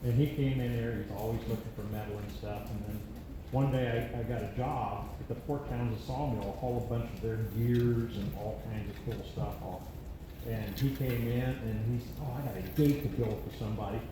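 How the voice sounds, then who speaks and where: A loud, plainspoken man sharing how he knew the deceased at a memorial, speaking without a mic. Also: mid-range voice, steady tone, moderate pace, noisy background. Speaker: acquaintance giving a eulogy. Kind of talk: memorial remembrance. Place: memorial gathering room. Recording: distant voice in echoey room.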